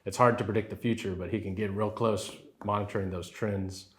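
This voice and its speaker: A man's voice: fairly low pitch, steady tone, fairly quick. A man, answering interview questions in an affable way, often saying "yes sir" and using fillers. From Rio Grande Valley, Texas. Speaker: title company president.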